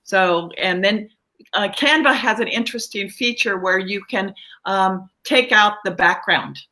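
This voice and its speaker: A woman's voice: medium pitch, measured pace, sharp tone. A middle-aged or older woman, a guest children's author, chatting casually and explaining things a little hesitantly. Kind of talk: casual interview chat.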